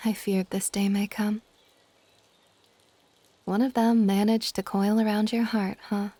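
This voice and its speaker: Soft, mellow dragon goddess, acted by a woman. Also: on the high side, no room sound. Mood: resigned, then relieved. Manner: soft, intimate, partly whispered, affectionate. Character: ancient dragon goddess, gentle, doting, possessive older woman. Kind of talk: ASMR romantic audio roleplay.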